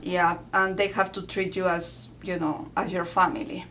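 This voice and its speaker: A woman in her forties from Peru with a Peruvian Spanish accent, chatting casually as an interview guest in a relaxed way with soft fillers. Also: fairly low pitch, moderate pace, slight background noise. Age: 40s.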